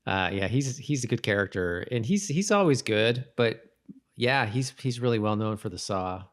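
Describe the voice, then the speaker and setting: A male podcast host with a fairly low voice talks casually about a television show. He tends to halt and rephrase himself, keeping the delivery conversational. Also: lively intonation, moderate pace.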